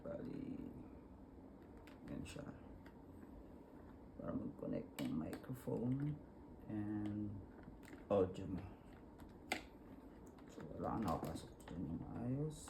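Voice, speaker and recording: A man with a Filipino accent giving a casual hands-on tutorial, talking informally and haltingly as he works through each step. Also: lowish voice, sing-song, gravelly, noisy background. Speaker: vlogger demonstrating a product installation.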